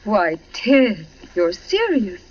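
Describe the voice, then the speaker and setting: An actress playing a poised, guarded suburban wife in a genteel, theatrical 1940s radio style. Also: female voice, mid-range voice, sing-song, drawn-out words, a little mellow, full character voice. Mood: puzzled, guarded. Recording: muffled 1940s radio recording.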